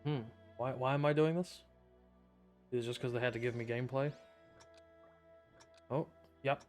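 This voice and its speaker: A man streams a game with casual live commentary in a mid-pitched voice. His delivery is deadpan and sarcastic, muttered in short offhand reactions, with faint game music behind him. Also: moderate pace.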